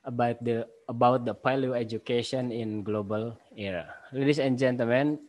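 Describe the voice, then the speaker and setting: A man in his forties with an Indonesian accent, giving a conference paper over a video call in halting English full of pauses and fillers. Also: medium pitch, steady tone, a little mellow, no echo. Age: 40s. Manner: halting, many fillers and restarts. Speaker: university lecturer presenting a paper. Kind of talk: conference presentation. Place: home office with bookshelves. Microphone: laptop webcam on video call.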